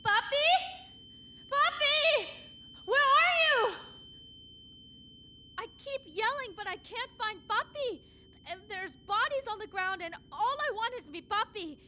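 Woman playing a very high-voiced excitable young girl. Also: slow pace, pauses often, a little hiss or hum, exaggerated voice. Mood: panicked. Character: young girl Anna, excitable, then traumatized. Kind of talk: audio play acting with narration. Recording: music behind the voice.

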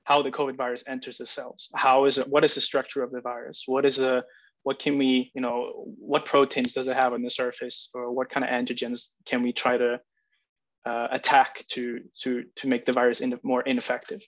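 A young Icelandic man who is not a native English speaker, explaining immunology as a podcast guest. His delivery is flat and monotone, with many pauses and restarts, and the audio sounds a little dull and lo-fi. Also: medium pitch. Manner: hesitant, many fillers and restarts, explains patiently. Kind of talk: casual interview.

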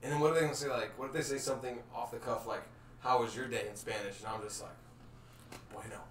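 Bright-voiced young man, self-mocking. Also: medium pitch, steady tone, fast talker. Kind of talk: casual chat among friends. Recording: roomy, distant mic, faint hiss.

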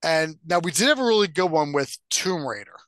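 A man with a slightly high voice talks as a podcast co-host, recorded close and dry. His delivery is casual and opinionated, with blunt phrasing and easy swearing. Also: expressive, a little fast, fairly bright tone, no room sound. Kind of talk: casual opinionated film and game chat.

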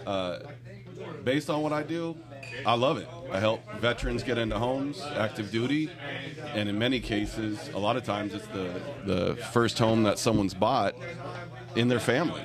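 A man who works as a loan officer, talking casually at an event. He has a loud voice and speaks in a friendly, earnest way with some restarts. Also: fairly low pitch, moderate pace, crowd noise. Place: room full of people at an event.

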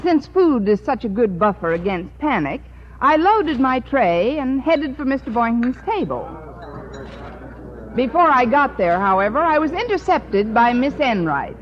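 Dull, faintly noisy old radio sound. dry, wisecracking teacher, fairly high and expressive. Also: female voice, measured pace. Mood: dry, wry. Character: wry, quick-witted schoolteacher heroine, adult woman. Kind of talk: 1950s radio comedy acting.

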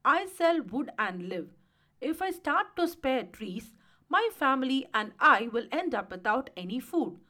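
A woman voicing a poor, suspicious male woodcutter in a storybook, blunt and quick to anger. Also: high-pitched, very animated, sharp tone, full character voice. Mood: apologetic. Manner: blunt, distrustful.

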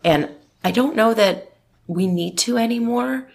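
A woman's voice: on the high side, measured pace, gravelly, a little mellow. A woman in her forties, a marketing executive, talking in an interview in an emphatic, outspoken and optimistic way. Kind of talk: interview conversation.

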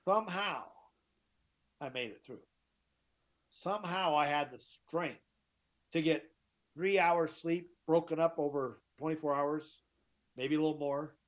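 A middle-aged man with a deeper voice, telling his story as an interview guest, emphatic and often repeating himself. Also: a little slow, pauses often. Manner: emphatic, repeats and restarts words. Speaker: guest, author. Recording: dull lo-fi sound.

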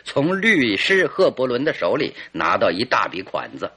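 A confident detective in an old-fashioned radio drama. His bright voice swings widely in pitch, with a rough edge, as he lays out deductions and poses probing questions like a storyteller. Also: wildly swooping pitch, normal speed, exaggerated voice. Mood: explaining, probing. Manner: theatrical, leisurely deductive storytelling with rhetorical questions.

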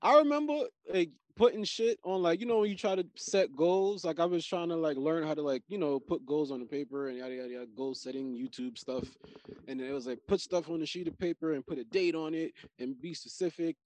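A man in casual chat, animated and profane, riffing on his points with slang and repetition. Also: fairly high pitch, fairly quick, no room sound.

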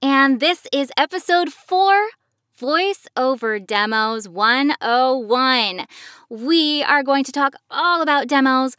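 A woman's voice: high voice, takes it slowly, bright, forceful tone, dry recording, studio quality. A woman co-hosting a podcast in a conversational style. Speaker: podcast co-host, voice acting professional.

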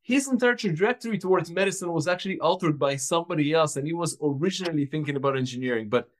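Soft-spoken reflective founder from Ukraine. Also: male voice, a little high, fast talker. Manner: reflective, many fillers and restarts, trails off softly. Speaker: startup founder guest. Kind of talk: casual interview.

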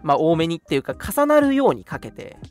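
A young man co-hosting a radio show, casually recounting things in an animated way and acting out reactions. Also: fairly high pitch, brisk, faint music behind. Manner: animated, acts out reactions while telling stories. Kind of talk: casual chat, recounting an outing.

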